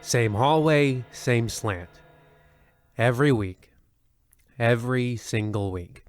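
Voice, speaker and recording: An actor playing a self-important, deluded radio anthology host, delivering a pompous, wryly rambling monologue in old-time style. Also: male voice, medium pitch, slow, no room sound, clean studio sound, soft background music, close to an everyday voice. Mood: solemn, weary.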